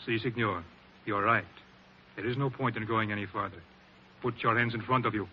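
An actor playing a polite, sly bandit guide in a 1940s radio drama, with a foreign accent and formal phrasing. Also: male voice, on the low side, steady tone, brisk, natural voice. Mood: coldly commanding. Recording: muffled, noisy 1940s radio recording.

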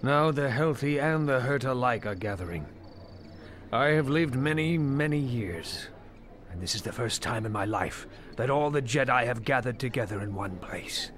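An actor playing a wise, grave old Jedi master in an audio drama, speaking in a measured, mentoring way. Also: male voice, mid-range voice, expressive, fairly bright tone, a little hiss or hum, close to an everyday voice. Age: older.

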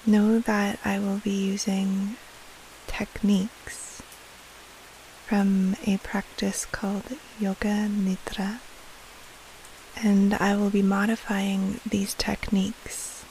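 Female meditation guide, half-whispered and creaky, even in pitch, soothing, over a faint steady hiss. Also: rough recording. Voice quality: soft, breathy, half-whispered. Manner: soothing, hushed, long pauses between short phrases. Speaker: meditation guide, podcast host. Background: soft rain-like hiss.

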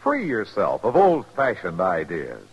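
Swooping, animated vintage ad announcer man. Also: measured pace. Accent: American. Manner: emphatic sales pitch. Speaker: commercial announcer. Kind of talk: 1950s radio cigarette advertisement. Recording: dull old radio recording with music.

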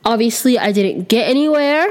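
A woman's voice: fairly high pitch, expressive, moderate pace. A woman in her twenties hosting her own podcast alone, slightly sick and a little nasal, rambling and candid with lots of ums, sitting close to the mic. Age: 20s. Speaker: podcast host. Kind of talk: casual solo podcast chat. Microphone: close to the microphone.

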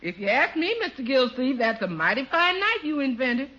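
An actress playing a loyal, plain-spoken American household cook, folksy and emphatic, in 1950s radio comedy. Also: female voice, high voice, sing-song, normal speed, pushed and bright, heavily acted. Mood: admiring, emphatic. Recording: dull old radio broadcast.

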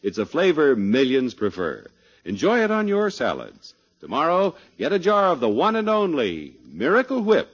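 A man's voice: a little high, huge pitch swings, moderate pace, slightly rough. An American man announcing for 1950s radio in a polished, genial, salesmanlike style. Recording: dull vintage radio broadcast.

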